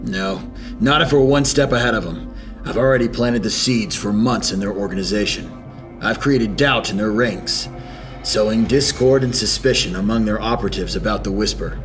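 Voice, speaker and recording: A man's voice: lowish voice, moderate pace, music in the background, natural voice. A young man playing a commanding, calculating mastermind in an old-time radio drama. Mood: urgent, resolute. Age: young adult. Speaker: student actor. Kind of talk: 1940s radio drama acting.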